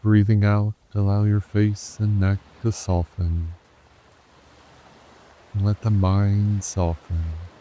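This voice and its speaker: A man guiding a sleep meditation in a slow, soothing, hushed way. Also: lowish voice, level tone, slight background noise. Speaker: meditation guide. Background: soft ocean waves and stream.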